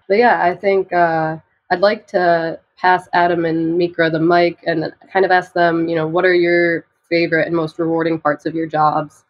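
A woman's voice: lowish voice, lo-fi sound. A young woman in her twenties, speaking as a podcast guest in a plain, orderly way. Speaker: communications staffer, podcast guest. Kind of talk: casual conversation.

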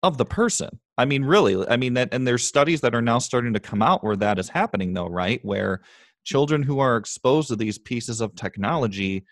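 A man's voice: mid-range voice, animated, brisk, dry recording, studio quality. A man hosting a podcast interview, conversational and reflective, agreeing with his guest. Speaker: podcast host.

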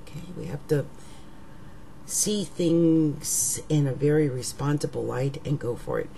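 A middle-aged woman with a rough, raggedy voice, talking casually to her webcam at home as an astrologer, slow and musing, trailing off into whispers. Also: sits low, mellow, a little hiss or hum. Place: living room at home. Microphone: webcam at desk.

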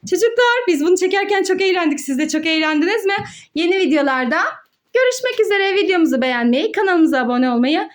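Very high-voiced playful mom. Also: female voice, quick pace. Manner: animated, encouraging, sing-song coaxing. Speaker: mother hosting a children's play video with their child. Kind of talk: playful narration of a children's game, talking to a child and young viewers.

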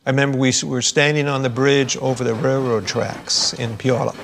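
An older man slowly recalling his memories in a vivid way. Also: medium pitch, steady tone, vocal fry, fairly bright tone, a little hiss or hum. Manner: slow, vivid storytelling. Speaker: interviewee.

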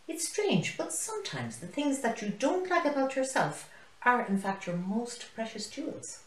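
A woman's voice: a little high, very animated, normal speed, voice pushed up. A woman voicing a friendly, earnest young male warthog pupil in a storybook. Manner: eager, heartfelt. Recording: roomy, echoey living room.

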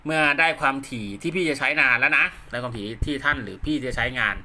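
A man's voice: medium pitch, lively intonation, fast, sharp tone. A man talks viewers through steps in a casual, conversational, polite way, often repeating himself. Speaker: host of radio equipment tutorial. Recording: clearly noisy home-made recording with clicks.

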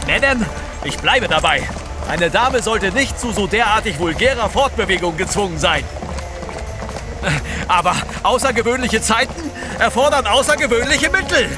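An actor playing a prim, old-fashioned English gentleman traveller in an audio drama, stuffy and proper. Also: high-pitched, animated, moderate pace. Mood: indignant. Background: dramatic music.

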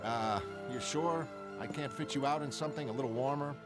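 An actor playing an earnest, reasonable, worried costumed hero in an animated comic, a man with a conversational delivery. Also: medium pitch, brisk, over loud music, lightly acted. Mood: practical. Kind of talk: animated comic drama acting.

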